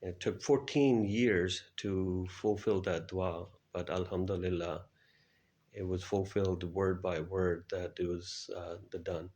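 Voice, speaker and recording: A middle-aged man answering interview questions and recounting his experiences in a halting way with frequent pauses. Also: deep voice, steady tone, darkish tone. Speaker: guest, air traffic controller.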